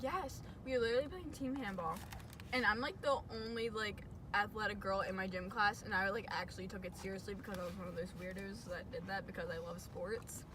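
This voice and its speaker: A young woman chatting and telling personal stories casually, giggly and animated, as a vlog co-host in a parked car. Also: mid-range voice, fairly quick, far from the mic. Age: young adult. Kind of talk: casual chat and personal storytelling. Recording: noisy car interior.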